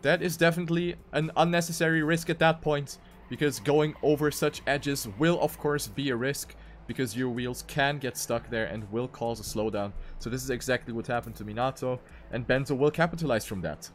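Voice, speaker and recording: A man's voice: mid-range voice, fairly even intonation, fairly quick, soft background music. A man commentating live on a racing game, calling the action play by play and repeating phrases for emphasis. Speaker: esports race commentator.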